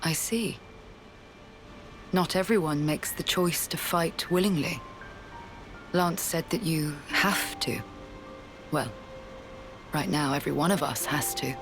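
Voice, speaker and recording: Loud music plays behind a resolute female commander. She speaks in measured, formal phrases with steady self-assurance, as in a dramatic game cutscene. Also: sits low, low-quality recording. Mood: earnest, reflective. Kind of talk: video game cutscene acting. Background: game soundtrack music.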